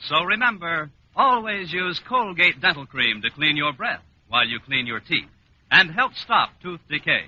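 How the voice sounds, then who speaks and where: A man's voice: a little high, very animated, moderate pace, lo-fi sound. An American man announcing a scripted mid-century radio advertisement with an emphatic sales delivery. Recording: noisy, band-limited old radio recording.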